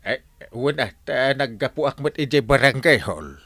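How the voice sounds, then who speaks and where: An actor playing a lazy, sleepy village captain in a comic radio drama, stammering and evasive with an Ilocano accent. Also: male voice, mid-range voice, moderate pace, fairly bright tone, dry recording. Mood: flustered. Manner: stammering, full of "eh" and "ay".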